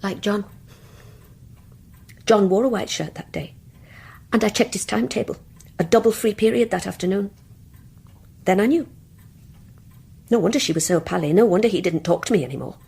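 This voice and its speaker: A woman's voice: medium pitch, moderate pace, vocal fry, long pauses, a little mellow, breathy edge. An actress playing a brooding, obsessive married woman in a radio drama, confiding her grievances in intense, insistent, clipped sentences. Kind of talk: radio drama acting with first-person narration.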